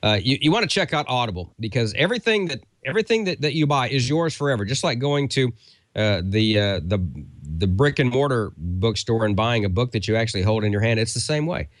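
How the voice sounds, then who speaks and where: A man's voice: medium pitch, lively intonation, a little fast, no echo. A man co-hosting a show, giving a casual, conversational sponsor read that rambles a little. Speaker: show co-host.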